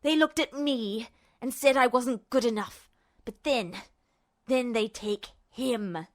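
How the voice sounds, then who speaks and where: A woman's voice: a little high, slow. A woman voicing a smug, bitter male villain in an audio story, cold and contemptuous, with hissing, snarling delivery. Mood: bitter resentment.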